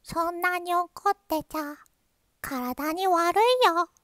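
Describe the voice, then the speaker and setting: A woman putting on the voice of a sweet, gentle cartoon bunny girl, slow and sing-song. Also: dry recording, full character voice. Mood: sweet chiding. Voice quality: high, bright tone.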